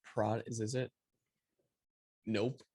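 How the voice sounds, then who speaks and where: A man's voice: fairly low pitch, slow pace, husky. A young man hosts a casual chat over a video call from a small room at home, speaking into a stand mic. He rambles easily with plenty of fillers, his English not his first tongue. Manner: relaxed, rambling, many "like" fillers. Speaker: podcast host. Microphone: studio mic on stand, video call.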